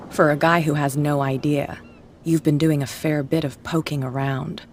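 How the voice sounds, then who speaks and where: A woman's voice: low-pitched, flat delivery, moderate pace, slight background noise, natural voice. A woman playing a territorial, mocking bootlegger boss in a video game, taunting and commanding as she talks. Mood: menacing, commanding. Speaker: gang leader.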